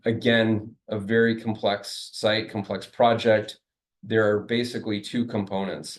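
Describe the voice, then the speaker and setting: A man presenting at a community meeting, answering audience questions in a careful, measured way. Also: on the low side, a little mellow.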